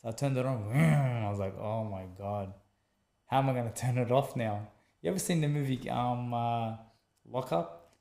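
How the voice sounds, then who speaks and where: A man's voice: mid-range voice, moderate pace, creaky, reverberant. A man with an Australian accent, a former boxer being interviewed, telling his story casually and acting out what people said, often repeating himself and adding "man". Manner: animated storyteller acting out quotes, repeats phrases, says man a lot. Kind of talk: conversational storytelling.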